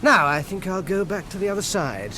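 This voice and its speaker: An actor in a radio drama playing a wry, defensive social climber who talks hesitantly and politely evades. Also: male voice, high voice, very animated, brisk, background noise. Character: evasive, smooth-talking male social climber, defensive and wry.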